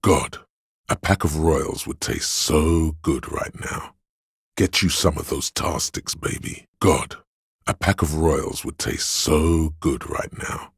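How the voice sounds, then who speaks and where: A man voicing a seedy, hedonistic inner voice of addiction in a video game, gravelly and husky, coaxing in a sly, seductive way. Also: very deep voice, slow, no room sound, clean studio sound, heavily acted. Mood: relishing, craving. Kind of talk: video game character voice lines.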